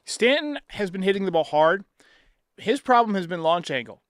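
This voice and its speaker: Clear-voiced, higher-pitched man hosting sports talk. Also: big pitch swings, normal speed, no echo, clean studio sound. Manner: emphatic, blunt, profane. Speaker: sports show host. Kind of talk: opinionated sports commentary.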